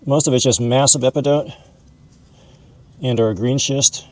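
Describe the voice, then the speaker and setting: A man's voice: medium pitch, steady tone, a little slow. A man hosting an informal educational video, explaining and showing things in a folksy, conversational way. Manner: folksy, calls objects "this guy". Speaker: host, mineral hobbyist educator.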